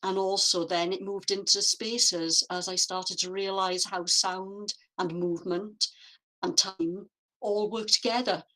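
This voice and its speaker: A woman from Wales, a guest artist, answering interview questions in a reflective, measured way with frequent fillers, over a video call. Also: medium pitch, pushed and bright, poor audio.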